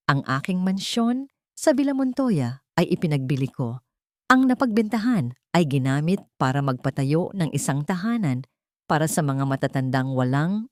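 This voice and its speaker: A woman's voice: on the low side, darkish tone, no room sound, clean studio sound. A woman reading a scripted first-person dramatic story as an audio narrator.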